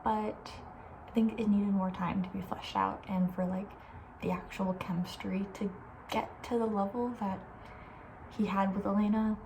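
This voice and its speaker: A woman talking softly and breathily in a casual, opinionated ASMR ramble, often slipping toward a whisper. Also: mid-range voice, steady tone, normal speed, slight background noise, rough recording. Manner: chatty, informal, opinionated, trailing off. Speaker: ASMR video host. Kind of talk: soft-spoken ASMR, casual rambling chat.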